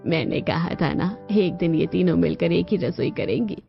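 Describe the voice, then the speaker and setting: A woman voicing a kindly, concerned older mother-in-law in a cartoon family story, measured and motherly. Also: lowish voice, expressive, vocal fry, voice pushed down. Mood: knowing. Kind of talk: Hindi cartoon story acting. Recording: muffled lo-fi sound with music bed.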